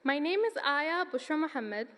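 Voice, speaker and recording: A nineteen-year-old woman from Sudan, giving an earnest, measured student speech at a graduation ceremony. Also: high voice, big pitch swings, a bit bright. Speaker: student commencement speaker. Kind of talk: prepared speech. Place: large hall. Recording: long reverb of a large hall.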